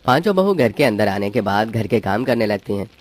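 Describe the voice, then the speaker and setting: A man's voice: lowish voice, big pitch swings, a little fast. A man reading scripted narration for a cartoon moral story. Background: background music.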